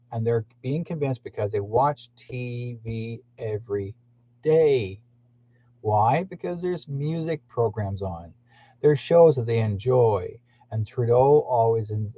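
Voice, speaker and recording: A man's voice: medium pitch, a little slow, warm tone. A man who hosts a channel, rambling indignantly in a monologue and speaking straight to his listeners. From Canada. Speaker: channel host. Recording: muffled lo-fi recording.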